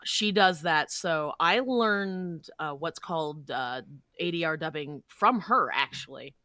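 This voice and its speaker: A woman with a low-pitched, bright voice talks casually about her work as a podcast guest. The sound is close and dry, with very little room in it. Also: expressive, a little slow, no room sound. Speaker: guest voice actor.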